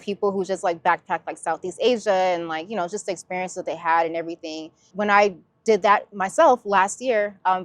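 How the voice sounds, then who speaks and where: A woman's voice: mid-range voice, no echo. Chatty young American woman, very casual. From San Diego, California. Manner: casual, says "like" a lot. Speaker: interviewee, cybersecurity analyst.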